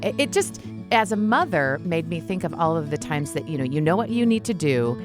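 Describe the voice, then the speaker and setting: Low-pitched woman, thoughtful and expressive. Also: a little fast, music in the background. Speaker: voice actor. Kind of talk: behind-the-scenes personal reflection.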